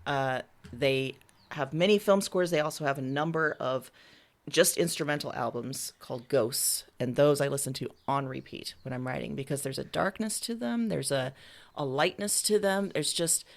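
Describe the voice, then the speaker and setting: Woman w a pretty low voice, writer chatting in an interview, candid, kinda reflective, informal. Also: moderate pace. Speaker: interviewed writer and audio drama creator. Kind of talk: casual interview answers.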